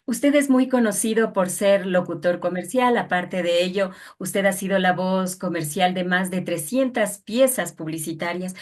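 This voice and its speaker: A woman putting formal interview questions in a respectful, deliberate way. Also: mid-range voice.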